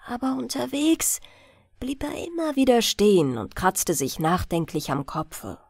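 A woman narrating a bedtime fairy-tale audiobook in an expressive storytelling style, close to the mic. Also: on the high side, a little slow, creaky, slightly rough, no room sound.

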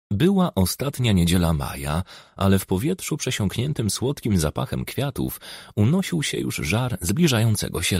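A man narrating a crime novel as an audiobook in speech, with measured, expressive storytelling. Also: low-pitched, creaky, breathy edge, no room sound, studio quality.

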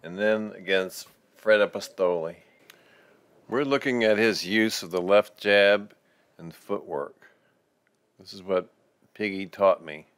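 An older man giving calm, informative voice-over narration. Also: fairly low pitch, slow pace, pauses often, clean tone, no room sound.

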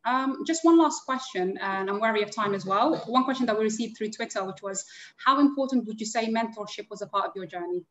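A woman's voice: mid-range voice, brisk, a bit bright, dull audio. A woman hosting an online panel over a video call, introducing speakers and asking questions politely. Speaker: panel host.

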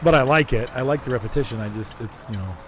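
Hoarse man streaming a game. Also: mid-range voice, fast. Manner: rambling, chuckling, answers chat. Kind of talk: casual gameplay chat. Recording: noisy game sound behind.

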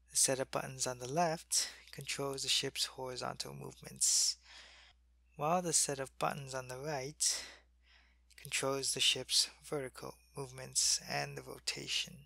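A man playing a sick, gravelly alien soldier. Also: medium pitch, no echo, full character voice. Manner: soft-spoken, drifting into whispers. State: sick and weak. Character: sick male alien soldier, weak but dutiful. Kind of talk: ASMR roleplay.

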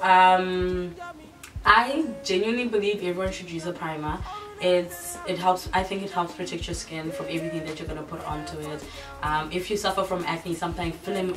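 A hoarse young woman from South Africa, casually chatting through a makeup tutorial with self-mocking asides. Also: deep voice. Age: young adult. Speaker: beauty vlogger. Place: bright room indoors. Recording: echoey room, mic far off, music behind.

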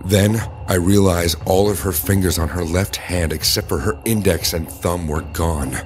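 A very low-pitched man narrates a scripted horror story in the first person. Prominent music plays behind his voice throughout. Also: normal speed. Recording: music bed under the voice. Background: dramatic background music.

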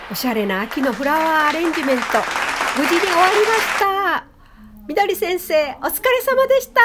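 A woman, presenting a vlog politely and in an animated, sing-song way. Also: high-pitched, noisy background. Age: 70s. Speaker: host, flower designer. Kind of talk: vlog narration to viewers.

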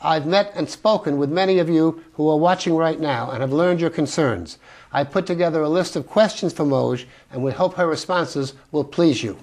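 A man's voice: fairly high pitch, moderate pace, a little mellow. A man hosting a local cable interview show, reading formal, scripted introductions and questions. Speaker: host.

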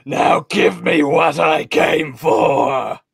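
Man as menacing alien villain, very slow, gravelly, dry close sound, dramatic table read. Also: a little high, fairly bright tone, no echo, exaggerated voice. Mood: demanding. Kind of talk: comic live table read.

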